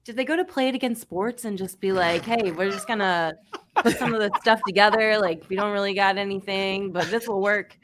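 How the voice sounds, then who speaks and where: A woman's voice: mid-range voice, a little fast, fairly bright tone, a little hiss or hum. A woman chatting casually as a sports podcast panelist, blunt and sardonic, with some casual swearing.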